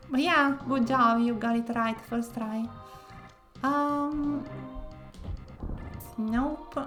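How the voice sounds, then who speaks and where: A woman from northern Italy with an Italian accent, speaking English as a second language, chatting casually while streaming a game and often pausing to search for words. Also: a little high, fairly even intonation, music in the background. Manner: soft-spoken, searching for words with many pauses. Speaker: variety streamer. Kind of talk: casual gameplay commentary.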